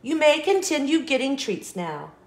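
A woman's voice: high voice, very animated, moderate pace, echoey room, pitched above the performer's own voice. A woman voicing a grumpy, anxious, scheming male sunflower seed in a children's storybook, with dramatic, exclamatory delivery. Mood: excited. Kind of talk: children's storybook read-aloud.

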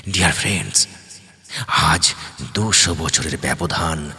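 A man voicing a boastful, desperate stage magician in an audio story, with theatrical declarations and dramatic pauses. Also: rumbling low, bright, forceful tone, husky, heavily acted. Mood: excited, proud.

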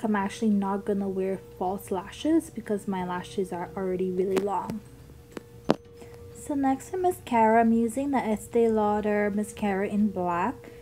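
A woman's voice: medium pitch, unhurried, warm tone, low-quality recording. A young woman with a childlike voice narrating a makeup tutorial in a chatty, upbeat way. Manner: chatty, upbeat product talk with ums. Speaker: beauty vlogger.

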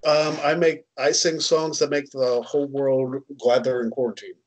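A man's voice: mid-range voice, little pitch movement, normal speed, a little hiss or hum. A man in his thirties co-hosting a casual chat on a headset, rambling and self-deprecating with many ums. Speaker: co-host. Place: home room, indoors.

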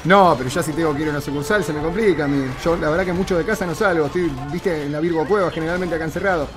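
Fast, high-pitched Argentine man. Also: over music. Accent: Argentine Rioplatense Spanish. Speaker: live streamer. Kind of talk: improvised prank phone call on a livestream. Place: indoor home streaming room.

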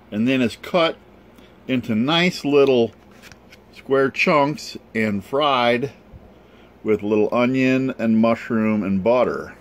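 A man in his sixties talking casually in his camping vlog, folksy and chatty, chuckling as he goes. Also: medium pitch. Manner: chatty, folksy, chuckles often, slow drawn-out phrasing. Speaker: outdoor cooking vlogger. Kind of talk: casual camp vlog narration. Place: inside a camper. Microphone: camera held close to the face.

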